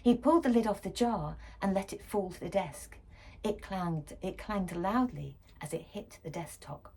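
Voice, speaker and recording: A woman reading a children's story expressively and dramatically, shifting between hushed and emphatic delivery. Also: medium pitch, normal speed, husky. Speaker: story reader.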